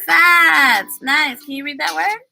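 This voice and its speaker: A woman's voice: sits high, takes it slowly, vocal fry, very noisy. A woman hosting a casual livestream as a children's reading teacher, encouraging and instructive as she talks. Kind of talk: casual livestream chat and phonics lesson with a child. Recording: music playing over the voice. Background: music.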